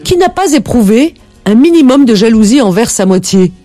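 Fields: Sex: woman. Role: late-night radio call-in host. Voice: mellow. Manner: gushing, laughs often. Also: on the high side, very animated, normal speed, no room sound, soft background music.

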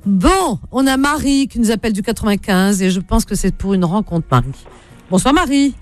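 A woman's voice: mid-range voice, animated, moderate pace. A woman hosting a radio call-in, chatting casually and familiarly, often repeating back what she is told. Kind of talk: casual live radio chat.

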